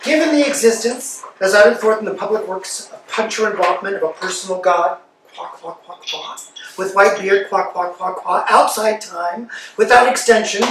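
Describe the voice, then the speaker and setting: A man's voice: sits high, moderate pace, a bit bright, slight background noise. A man playing a ragged old male servant, pouring out a breathless, tumbling monologue in a staged play reading. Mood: frantic rambling. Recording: echoey room, distant mic.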